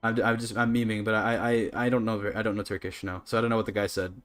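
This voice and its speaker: A man's voice: fairly low pitch, flat delivery, quick pace, faint music behind. A Canadian man chatting casually to his livestream audience, rambling and apologetic as he goes. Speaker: live streamer. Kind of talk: casual gaming livestream chat.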